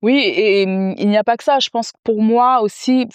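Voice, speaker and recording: A woman from France, a mother, speaks as a podcast guest, hesitant and thoughtful, filling her pauses. Her voice is smooth and clear, recorded close and dry. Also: a little high, no echo. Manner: thoughtful, hesitant with many fillers. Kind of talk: casual interview chat.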